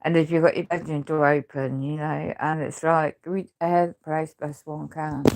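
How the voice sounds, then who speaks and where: A woman's voice: little pitch movement, normal speed, gravelly, darkish tone. A woman who is ill with a chest infection, with a crackly voice, short of breath and struggling to speak as she gives a rambling, aggrieved personal account. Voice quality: crackly, deep voice. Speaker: housing tenant speaking out. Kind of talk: rambling personal video testimony.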